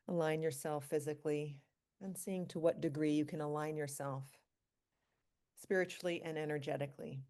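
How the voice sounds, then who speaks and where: A woman leading a guided meditation for a group, speaking calmly and slowly in short, spaced phrases. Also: sits low. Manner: calm, slow, pausing between short phrases. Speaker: meditation leader, physician.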